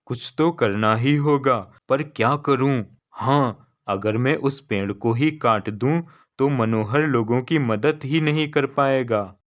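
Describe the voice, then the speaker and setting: A man's voice: medium pitch, slow, hardly any pauses, close to an everyday voice. A man playing a jealous, scheming village landlord in a cartoon story, brooding and calculating.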